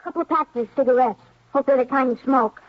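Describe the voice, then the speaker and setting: An actor playing an earnest, grateful young boy in 1950s radio drama, with a husky American voice and halting, plain-spoken delivery. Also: high-pitched. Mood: shy, hopeful. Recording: dull old radio recording.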